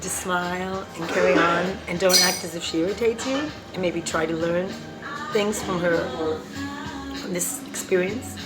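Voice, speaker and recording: A woman with a loud voice reading and talking casually about recovery, laughing between points. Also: lowish voice, moderate pace. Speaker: recovery vlogger. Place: coffee shop. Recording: loud music in a coffee shop.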